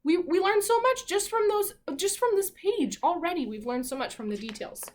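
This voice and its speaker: A woman teacher leading an interactive read-aloud for young children, asking questions and reading with animated emphasis. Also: very high voice. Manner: asks questions and pauses as if hearing answers. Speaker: elementary school teacher.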